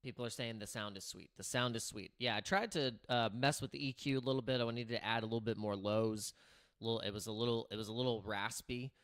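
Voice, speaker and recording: A man with a naturally raspy voice hosting a casual livestream, talking loosely with lots of restarts and filler words into a streaming microphone. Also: medium pitch, fast, fairly bright tone. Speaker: crypto livestream host. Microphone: streaming microphone through streaming software.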